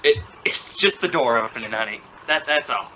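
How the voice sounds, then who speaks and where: A man's voice: medium pitch, lively intonation, moderate pace, bright, forceful tone. A man playing an exasperated, sarcastic middle-aged father in an old-time radio sitcom, flustered and bluffing confidence. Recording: dull, noisy lo-fi radio play recording.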